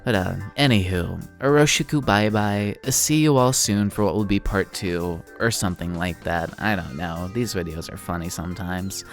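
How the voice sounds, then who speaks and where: A man with a fairly low voice delivers casual commentary for an online video essay. He sounds sardonic and self-deprecating, speaking informally over soft background music. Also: moderate pace, hardly any pauses.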